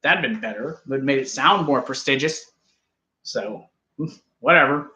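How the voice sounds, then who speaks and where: A man's voice: mid-range voice, lively intonation, normal speed, sharp tone, reverberant. A man casually reviewing a wrestling show, rambling and joking as he argues with his co-host. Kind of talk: casual live review chat.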